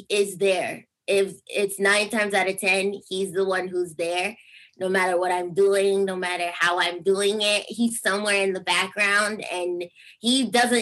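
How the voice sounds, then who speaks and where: Squeaky-voiced young woman on a call. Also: rough recording. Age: young adult. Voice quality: squeaky speaking voice. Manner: animated storytelling, acts out quotes with big pitch jumps. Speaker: singer guest. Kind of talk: casual interview chat. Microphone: video call.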